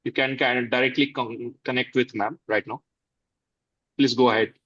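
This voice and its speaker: A man's voice: mid-range voice, steady tone, moderate pace, a bit bright, no room sound. Hesitant man moderating over a dull call. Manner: hesitant, many fillers. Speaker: session moderator. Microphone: video call.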